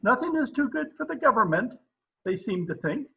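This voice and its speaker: A man playing a jolly Swedish immigrant family man in a radio comedy, with halting, broken English. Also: very high voice, big pitch swings, gravelly, heavy background noise, full character voice. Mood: jolly, joking. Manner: halting, broken English, chuckling at own jokes. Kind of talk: old-time radio comedy acting.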